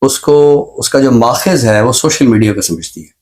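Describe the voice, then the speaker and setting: Pakistani man explaining in measured phrases. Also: medium pitch, lively intonation, a little mellow. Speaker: expert guest on a literary and social talk show. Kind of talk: reflective discussion.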